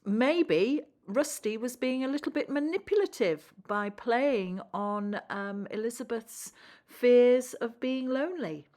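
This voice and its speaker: A woman's voice: a little high, animated, slow, darkish tone. A woman storyteller reading a children's story expressively and talking to young listeners.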